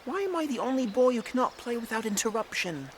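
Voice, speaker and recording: A woman's voice: on the high side, sing-song, quick pace, noisy background. A performer playing a boastful, cunning young boy prince in an audio drama, grand and playful in manner. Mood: petulant. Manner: grandiose, mock-heroic.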